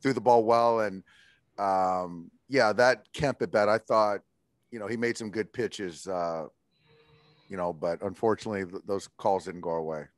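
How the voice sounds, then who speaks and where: A man in his forties, a baseball manager answering postgame press questions in a measured way, with many fillers and restarts, heard over a video call. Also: fairly low pitch, no echo, clean studio sound. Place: indoors in front of a logo backdrop.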